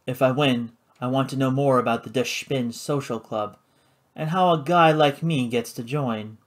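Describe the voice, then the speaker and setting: A man voicing a smooth-talking, cool male adventurer in his own level voice. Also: mid-range voice, normal speed, lightly acted.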